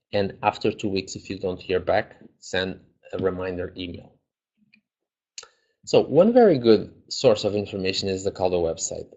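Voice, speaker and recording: A man coordinating a graduate program, calmly giving advice in an online information session, with fillers and restarts. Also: on the low side. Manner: calm, advising, with fillers and restarts. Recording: dull lo-fi recording.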